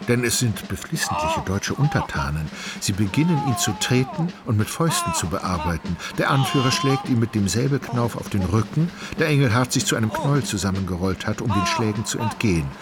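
A man reading scripted literary narration for a radio play in a measured, articulate storytelling manner. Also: medium pitch, very animated. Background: music.

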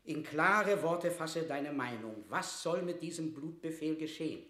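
An actor playing an anxious, dutiful royal secretary in a classic radio drama, speaking in a formal, pleading, theatrical manner. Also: male voice, fairly high pitch, lively intonation, measured pace, a bit bright. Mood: alarmed, pleading. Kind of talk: classic German radio play acting of verse drama. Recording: roomy, reverberant radio drama studio.

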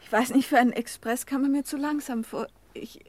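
A young woman with a fairly high voice in a German radio drama. She is a fretful, clinging fiancée, insistent and intimate, quick to sound hurt. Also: fairly even intonation, darkish tone. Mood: nervous, fretful. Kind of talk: German radio play acting.

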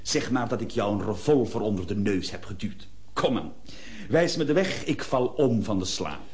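An actor playing a weary but self-assured traveller in a radio play, insistent and wry. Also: male voice, on the low side, expressive, moderate pace, a little mellow, a little hiss or hum, close to an everyday voice. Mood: breezy, assured.

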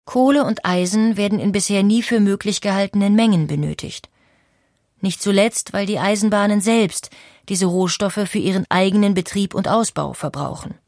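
A woman narrating a history documentary in a clear, steady scripted reading. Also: medium pitch, normal speed, dry recording, studio quality.

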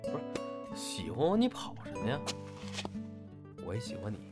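A man playing a steady, teasing and protective young stage partner in an audio drama, talking casually. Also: lowish voice, moderate pace. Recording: guitar music behind the voice. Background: guitar music.

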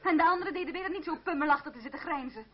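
An actress playing a proud, indignant young daughter in an old radio drama, with a theatrical, protesting delivery. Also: female voice, sits high, racing, a crowd in the background, close to an everyday voice. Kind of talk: old-fashioned Dutch radio drama acting. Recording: muffled old radio play recording.

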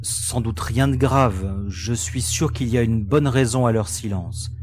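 A man's voice: mid-range voice, unhurried, a little mellow, natural voice. Man acting young spaceship pilot over background noise. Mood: reassuring. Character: young male spaceship pilot, easygoing optimist turning worried. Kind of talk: French sci-fi audio drama acting.

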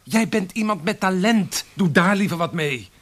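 An actor playing a young well-born gentleman in a Dutch historical radio drama, sociable and frank, chiding a friend. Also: high-pitched, animated, moderate pace. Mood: exasperated, advising.

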